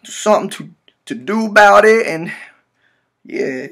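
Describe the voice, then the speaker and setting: A man's voice: sits high, measured pace, vocal fry, a bit bright, full character voice. A man in his twenties playing a hurt, naive young man on a prank call, whining, pleading and stammering as he repeats himself. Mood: distressed, whiny. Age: 20s. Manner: whiny, pleading, repetitive, stammering. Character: hurt, frantic, naive young man with bleeding swollen lip. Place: small room indoors, at a desk.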